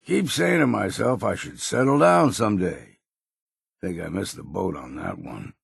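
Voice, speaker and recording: An actor playing a gruff, weary old hunter in a video game, grumbling and wary in a folksy, plainspoken rustic drawl. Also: male voice, sits low, expressive, normal speed, no echo, studio quality, full character voice. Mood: matter-of-fact. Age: older. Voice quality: gravelly, husky. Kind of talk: video game character voice lines.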